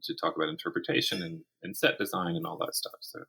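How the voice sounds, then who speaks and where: A middle-aged man with a low, husky voice that still carries a bright, forward edge. He talks conversationally as an interview guest, thinking aloud and restarting phrases as he goes. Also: lively intonation, fast talker. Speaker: guest, writer and academic. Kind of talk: conversational interview about writing craft.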